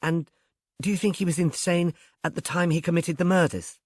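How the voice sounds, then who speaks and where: A man's voice: a little high, fairly even intonation, brisk, no room sound, clean studio sound, pitched above the performer's own voice, close to an everyday voice. A man voicing a curious, uneasy woman in conversational audiobook dialogue, asking questions. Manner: inquisitive, conversational.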